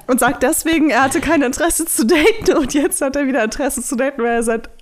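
A woman's voice: high voice, fast. A young woman chatting casually as a podcast co-host, often laughing. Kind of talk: casual chat.

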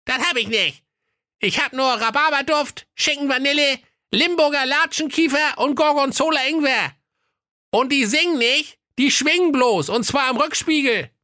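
A man's voice: very high-pitched, moderate pace, bright, forceful tone, dry recording, studio quality, voice pushed up. A man voicing a grumpy, sly evil little male dwarf in a comic fairy tale, grumbling and scheming. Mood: indignant.

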